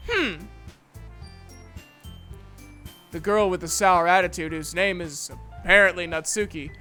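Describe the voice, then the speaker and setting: A woman voicing a reluctant, sardonic high-school boy who narrates his thoughts in a visual novel fan dub. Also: fairly even intonation, brisk, sharp tone, faint music behind. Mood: dry narration.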